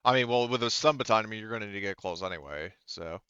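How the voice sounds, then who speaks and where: A man with a smooth, low voice runs a tabletop game. He explains the options casually, qualifying as he goes. The recording is dry and slightly muffled. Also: quick pace, bright, forceful tone, no room sound. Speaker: game master.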